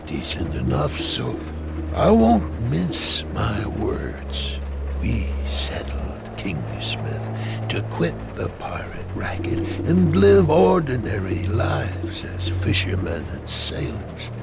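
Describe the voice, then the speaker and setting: Gravelly old blind fishman, very slow. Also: medium pitch, wildly swooping pitch, music in the background, heavily acted. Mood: grave, bitter. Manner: drawn-out, theatrical, sardonic. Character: weary, wry old blind pirate turned fishman. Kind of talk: dramatic video game cutscene acting.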